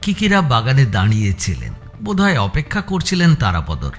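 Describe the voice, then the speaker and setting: A man's voice: medium pitch, huge pitch swings, a little fast, soft background music. A man narrating a suspense audio story in a dramatic storytelling style.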